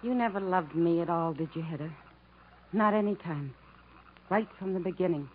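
A woman's voice: fairly low pitch, normal speed, natural voice. An actress playing a wistful, wounded woman who yearns for self-respect, with plaintive, searching phrasing in 1950s radio drama style. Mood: wounded, resigned. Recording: muffled, noisy 1950s radio recording.

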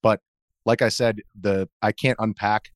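Male American interview guest, lowish voice, dry studio-close sound, earnest, halting explanations. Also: fairly even intonation, no room sound. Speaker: interview guest, video journalist. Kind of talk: conversational interview, explaining own perspective.

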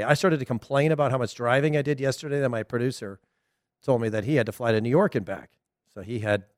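A middle-aged man with a Southern American accent, hosting a casual soccer talk show, chatty and animated with frequent laughs. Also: medium pitch, no echo, studio quality. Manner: chatty, animated, laughs often, loose restarts. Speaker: soccer podcast host. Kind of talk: casual solo commentary.

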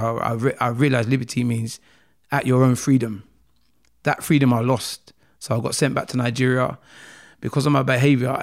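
A man's voice: mid-range voice, creaky. A man from South London with a South London accent, a podcast guest earnestly telling his life story in a casual interview, rambling with lots of "like" and "man". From South London, England.

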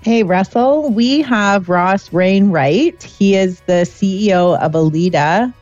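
A woman's voice: medium pitch, slow pace, darkish tone, no room sound, faint music behind. Woman co-host with dull, dry audio. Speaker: podcast co-host. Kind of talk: podcast intro.

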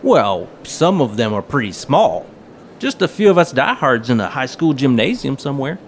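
A man's voice: medium pitch, sing-song, moderate pace, slight background noise. An actor playing an eager, folksy small-town hobbyist man in an audio drama, chatty and full of slang. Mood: jovial, chatty.

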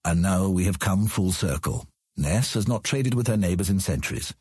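A man playing a proud, measured ruler in a fantasy audio drama, speaking formally and with deliberate command. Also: deep voice, lively intonation, darkish tone, husky, dry recording, studio quality. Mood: grave, probing. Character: proud, measured ruler of an outland city on his throne.

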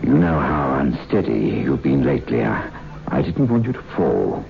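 Very deep, menacing, domineering villain. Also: male voice, sing-song, moderate pace, heavily acted. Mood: controlling, falsely soothing. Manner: brusque, threatening, smoothly controlling. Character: menacing, domineering man with a pet tiger. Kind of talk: 1960s radio horror acting. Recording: dull old radio recording, music behind.

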